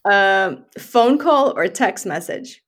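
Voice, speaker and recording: Effusive woman hosting a relaxed interview. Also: mid-range voice, slow. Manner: effusive, often laughing. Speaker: podcast host interviewing a guest. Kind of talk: casual conversational interview.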